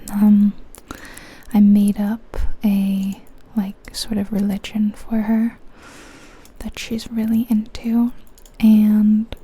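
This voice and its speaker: A woman speaks in a very soft, breathy whisper with a dark, mellow tone. She goes slowly, musing to herself in the manner of relaxing ASMR game commentary, close to the mic. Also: on the high side. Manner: unhurried, musing, thinking aloud. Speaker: ASMR video creator.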